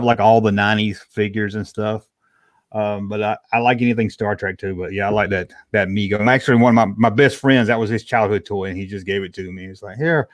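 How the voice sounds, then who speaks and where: A man's voice: on the low side, no echo, studio quality. A middle-aged man from Georgia with a Southern US accent, chatting casually and folksily as a livestream guest answering viewer questions. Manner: folksy, colloquial. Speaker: livestream guest, toy collector.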